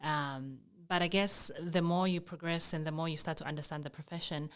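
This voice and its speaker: A young adult woman from Zambia, a guest in an interview, speaking thoughtfully with frequent ums and soft laughs. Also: deep voice, steady tone, brisk, smooth. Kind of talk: casual conversational interview.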